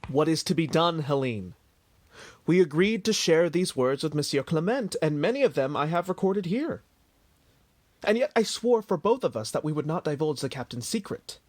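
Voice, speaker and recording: A man's voice: on the high side, lively intonation, normal speed, a little mellow. A man plays a discreet, morally careful servant in period audio drama. He speaks formally and earnestly in a slightly raised register, recorded without room echo. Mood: conspiratorial, careful. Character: dutiful, discreet, morally scrupulous male household servant. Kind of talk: period stage-play audio drama acting.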